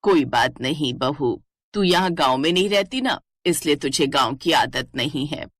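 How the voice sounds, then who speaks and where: An older village mother-in-law in an animated moral tale, acted by a woman narrator. Her voice sits low and close with no room sound, patient and proud, able to stand firm. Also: normal speed, no echo. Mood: forgiving.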